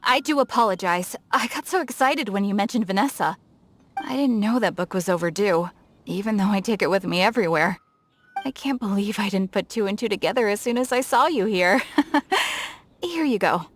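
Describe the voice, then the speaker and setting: A woman's voice: medium pitch, animated, moderate pace, lightly acted. A woman voicing an eager, effusive female souvenir shopkeeper in a video game. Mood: apologetic. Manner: bubbly, effusive saleswoman. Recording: faint game music behind.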